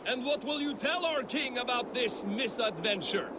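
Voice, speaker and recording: A man's voice: extremely high pitch, lively intonation, moderate pace. A man voicing a blunt, coarse Viking crewmate who teases and warns in a game cutscene. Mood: teasing. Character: gruff male Viking crewmate, blunt, teasing. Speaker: game character. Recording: game audio under loud sea noise.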